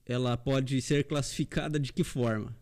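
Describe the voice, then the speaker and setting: Man, podcast host, relaxed informal chat, self-deprecating, mid-pitched voice. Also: normal speed. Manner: informal, self-deprecating, invites audience questions. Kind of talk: casual interview chat.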